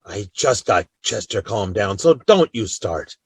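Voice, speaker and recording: An actor playing a calm, authoritative Old West marshal with an American accent and a measured, firm delivery in a radio drama. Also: male voice, fairly low pitch, husky, dry recording, clean studio sound, exaggerated voice. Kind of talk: Western radio drama acting.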